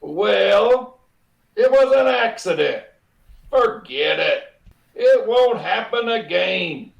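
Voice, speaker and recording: A man's voice: high voice, expressive, slow, vocal fry, roomy sound, exaggerated voice. A man playing a dismissive, blustering middle-aged company boss in an old-time radio comedy, speaking emphatically.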